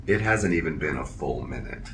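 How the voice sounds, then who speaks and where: An actor playing a formal, measured, deadpan security officer in a science fiction audio drama. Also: male voice, very deep voice, slight background noise. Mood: firm, dry. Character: stiff, dutiful male security officer on a spaceship. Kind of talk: scripted audio drama acting.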